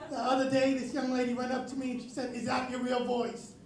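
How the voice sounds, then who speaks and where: A young man doing a self-mocking stand-up set with a very raspy, high voice, on a club stage. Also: quick pace. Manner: self-mocking deadpan delivery. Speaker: stand-up comedian. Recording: echoey club with crowd. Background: audience laughter.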